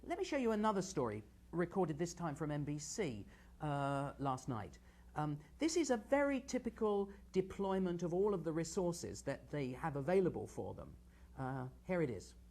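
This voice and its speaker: A man with a husky voice hosts a live analysis of television news. He talks straight to viewers in a conversational, digressive way, halting and restarting as he thinks. His pitch moves about in a lively way. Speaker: host and media analyst.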